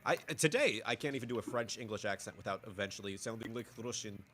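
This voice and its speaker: A man's voice: lowish voice, fast talker, fairly bright tone. A man co-hosting a casual podcast, rambling through restarts and breaking into joke accents now and then. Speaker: podcast co-host.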